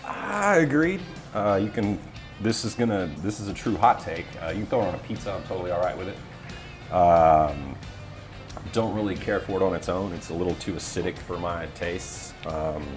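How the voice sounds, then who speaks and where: A man's voice: low-pitched, animated, moderate pace, music in the background. A man who works in voiceover, chatting casually as an interview guest with a playful, wry manner. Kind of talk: casual chat.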